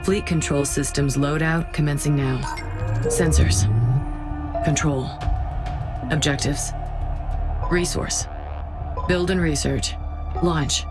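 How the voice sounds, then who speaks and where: A composed young female commander of a starship fleet in a video game. Her voice is deep for a woman, measured and precise, with solemn formality. Loud music plays behind her. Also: steady tone. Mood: focused, businesslike. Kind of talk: dramatic game voice acting. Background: loud score.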